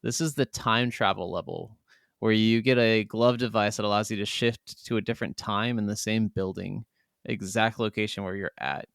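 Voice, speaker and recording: A man's voice: fairly low pitch, fairly even intonation, moderate pace, vocal fry, fairly bright tone, clear, resonant tone, no echo, studio quality. A man co-hosting a podcast in an enthusiastic, emphatic, casual way, swearing freely as he talks. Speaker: podcast co-host. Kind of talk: casual video game discussion.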